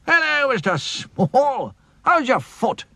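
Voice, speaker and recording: A man voicing an excitable, kindly cartoon doctor who muses aloud in drawn-out exclamations. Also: very high voice, vocal fry, no echo, pitched above the performer's own voice, full character voice. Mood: cheerful. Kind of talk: children's storybook character voice.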